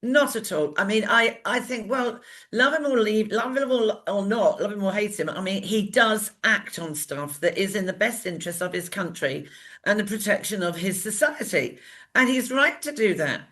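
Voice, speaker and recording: A woman's voice: mid-range voice, animated, moderate pace, fairly bright tone. A woman co-hosting a talk show, chatting casually and giving opinions in a rambling, exasperated way.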